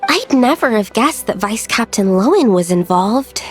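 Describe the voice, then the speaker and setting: A bright, high voice for a young knight, played by a woman, polite and careful. She asks her questions in a measured, concerned way during the game scene. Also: expressive, soft background music, lightly acted. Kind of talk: video game cutscene dialogue.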